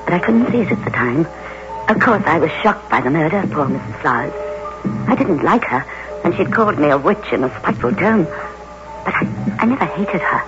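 A woman's voice: low voice, expressive, moderate pace, music in the background. Female, fragile jealous wife, dramatic swooping delivery, radio play, muffled noisy recording. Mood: reflective, subdued. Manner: fretful, dramatic, pleading. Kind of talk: old-time radio drama acting.

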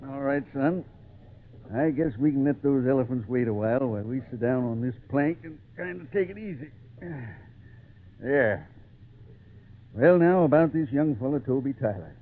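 An actor playing a kindly old circus hand spinning a yarn in a folksy, unhurried radio-drama style. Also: male voice, medium pitch, close to an everyday voice. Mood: reminiscing. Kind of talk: 1940s-50s radio drama acting. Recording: tinny old radio recording, faint noise.

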